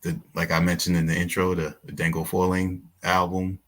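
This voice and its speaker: A man's voice: deep voice, completely flat delivery, normal speed, fairly bright tone. A man from New York City hosting a podcast, asking easygoing, encouraging interview questions. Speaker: podcast host. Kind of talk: casual interview.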